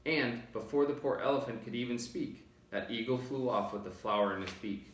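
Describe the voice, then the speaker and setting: A man reading children's verse aloud as an expressive storybook narrator. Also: medium pitch, fairly quick. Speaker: storybook reader. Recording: roomy, distant microphone.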